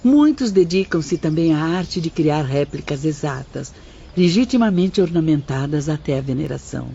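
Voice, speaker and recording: An actress playing a kindly, serene female spirit guide who teaches gently and formally in an audio drama. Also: low-pitched, lively intonation, normal speed, gravelly, slight background noise. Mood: serene, instructive. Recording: dull audio with faint music.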